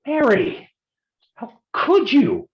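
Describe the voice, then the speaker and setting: An actor playing a hard-boiled male private detective in a noir staged reading, gruff and wisecracking in old-time gumshoe slang. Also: extremely high pitch, wildly swooping pitch, very slow, dull audio, exaggerated voice. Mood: gruff, protective.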